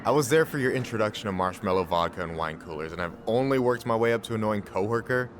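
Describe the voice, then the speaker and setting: An actor playing a friendly, teasing young coworker in a video game, chatty and playfully wry. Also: male voice, lowish voice, lively intonation, quick pace, background noise, close to an everyday voice. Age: young adult. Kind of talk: naturalistic video game acting.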